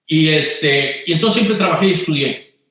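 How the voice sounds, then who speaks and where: A man's voice: fairly high pitch, normal speed, fairly bright tone. A middle-aged man with a Monterrey accent, a guest telling chatty anecdotes about his life in an interview. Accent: northern Mexican (Monterrey). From Monterrey, Mexico. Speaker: guest, business executive. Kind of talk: conversational interview. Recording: very echoey room.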